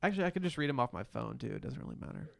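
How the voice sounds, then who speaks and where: A man's voice: medium pitch, very animated, racing, creaky, no room sound, clean studio sound. A man co-hosting a podcast, chatting informally and bluntly in a slangy recap of a reality show. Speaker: podcast co-host. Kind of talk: casual reality-TV recap chat.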